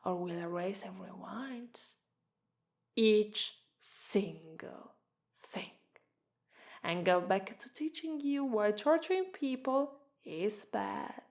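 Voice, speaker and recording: A woman's voice: medium pitch, expressive, takes it slowly, gravelly. A woman with an Italian accent playing a menacing, sadistic policewoman in an ASMR roleplay, taunting a suspect and slipping into whispers. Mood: taunting, threatening. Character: menacing, sadistic, vengeful policewoman interrogating a killer. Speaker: ASMR voice actress.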